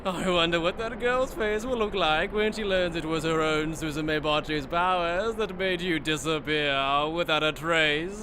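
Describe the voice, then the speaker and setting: A man's voice: fairly high pitch, a little slow, few pauses, lightly acted. A man acting a sadistic, gloating aristocrat villain in an anime fan-dub, with a theatrical, mocking, cackling delivery. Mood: sadistic glee. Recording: clearly noisy background.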